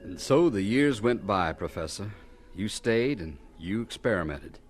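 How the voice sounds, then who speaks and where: A man's voice: lowish voice, expressive, a little slow, noisy background. A man narrating and announcing a scripted radio drama in a formal storytelling style.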